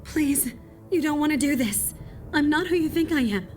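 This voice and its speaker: Lady doing a scared young woman pleading, high breathy voice, bit of music behind. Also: lively intonation, normal speed. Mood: pleading terror. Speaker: voice actor in a fan audio drama.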